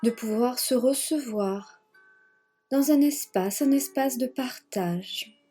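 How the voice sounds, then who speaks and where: Slow, breathy woman guiding meditation. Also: on the high side, faint music behind. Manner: gentle, measured, with long pauses and repeated phrases. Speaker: yoga nidra guide. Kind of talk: guided meditation, slow spoken relaxation.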